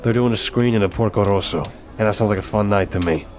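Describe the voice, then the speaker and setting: A man's voice: fairly low pitch, fast talker, over music, lightly acted. A man playing an apologetic, flirtatious mafia boss who talks to a date in a teasing, earnest, affectionate way, in an ASMR roleplay. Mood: casual, affectionate.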